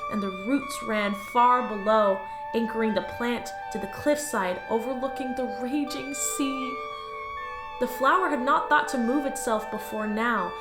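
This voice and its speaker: A woman's voice: on the high side, lightly acted. A woman voicing an eager rookie alien office clerk who loves reading a strange story aloud and begs a coworker for more time. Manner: dreamy storytelling, pleading with coworker. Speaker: voice actor. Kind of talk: audio drama acting. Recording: roomy echo over loud music.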